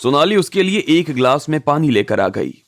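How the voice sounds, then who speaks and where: A man's voice: a little high, big pitch swings, moderate pace, dry recording. A man narrating a scripted audio drama story.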